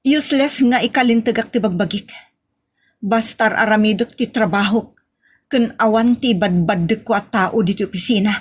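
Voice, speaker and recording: A woman's voice: medium pitch, moderate pace, husky, natural voice. A woman with a Filipino accent playing a wronged but proud office employee, pleading yet defiant, in a radio-style drama. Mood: hurt, defiant.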